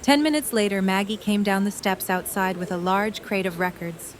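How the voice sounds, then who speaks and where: Woman narrator, scripted audiobook narration, mid pitch, faint hiss. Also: moderate pace.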